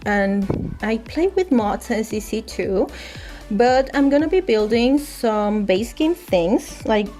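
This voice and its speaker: A woman's voice: a little high, expressive, slow, dark tone. A young woman whose first language is Spanish, chatting casually as a gaming YouTuber with frequent restarts and filler words. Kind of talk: casual voice-over chat. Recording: faint music underneath.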